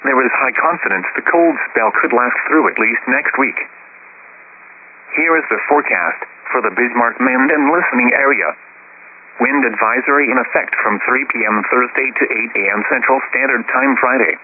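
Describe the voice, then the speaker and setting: A synthetic male text-to-speech voice reads a scripted weather bulletin as an automated radio announcer, in an impersonal, measured recitation. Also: mid-range voice. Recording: hissy, phone-like weather radio broadcast.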